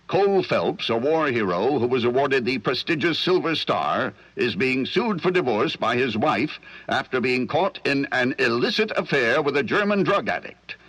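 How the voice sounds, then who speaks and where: A man's voice: medium pitch, animated, normal speed, hardly any pauses, fairly bright tone, lightly acted. An American man announcing news and adverts in polished, emphatic 1940s radio style. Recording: reverberant old-time radio sound.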